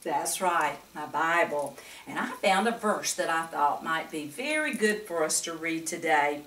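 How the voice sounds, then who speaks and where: A woman's voice: sits low, animated, unhurried, a bit bright. An older woman reading a story and teaching a Bible lesson to children in a grandmotherly way, with questions and asides. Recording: echoey room, distant microphone.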